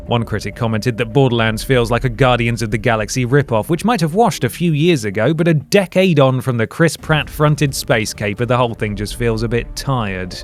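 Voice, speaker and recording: A man's voice: mid-range voice, slight background noise. A man reading a scripted video essay narration, with dry, sarcastic asides.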